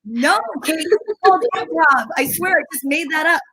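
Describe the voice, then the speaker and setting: A woman with a high voice hosts a casual live stream. She is chatty and enthusiastic, talking informally to viewers and guests. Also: animated, normal speed, sharp tone. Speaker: show host.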